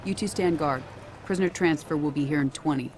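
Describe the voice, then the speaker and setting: An actress playing a stern, authoritative military general in a video game, clipped and commanding. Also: female voice, deep voice, a little fast, a little mellow, a little hiss or hum, close to an everyday voice. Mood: brisk, commanding. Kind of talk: dramatic video game cutscene acting.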